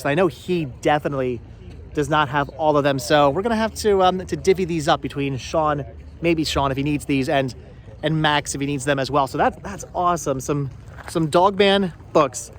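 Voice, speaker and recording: A middle-aged man hosting a casual thrifting vlog, chatting haltingly and often repeating his words. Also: fairly high pitch. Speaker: vlog host. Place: out and about at flea market.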